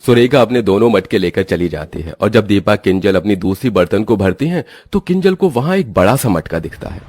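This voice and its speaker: Male narrator, lowish pitch, scripted storytelling, cartoon tale, dry recording. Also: animated, fairly quick, a little mellow, husky, no room sound.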